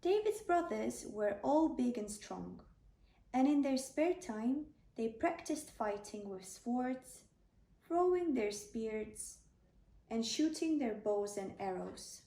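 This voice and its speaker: A woman's voice: fairly high pitch, expressive, takes it slowly, mellow. A woman narrating a scripted children's bedtime story as a storyteller. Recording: echoey room, slightly distant microphone.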